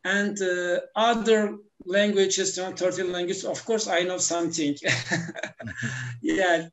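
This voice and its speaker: A man's voice: sits high, steady tone, unhurried, roomy sound, a little hiss or hum. A man, talking as a guest in a casual interview, hesitant with many fillers. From Turkey. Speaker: guest, language teacher.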